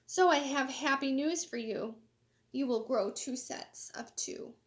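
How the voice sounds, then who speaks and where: A woman's voice: high voice, a little slow. A woman voicing a cheerful, motherly hen who explains in reassuring rhyme, as in a children's storybook.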